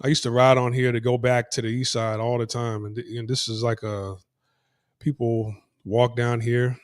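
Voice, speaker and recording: A man's voice: medium pitch, little pitch movement, normal speed, clear, resonant tone, no room sound, studio quality. Rambling man vlogging outdoors. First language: English. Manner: rambling, repeats himself, many restarts and fillers. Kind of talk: casual walking vlog, talking to camera. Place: outdoors, on city streets, moving around.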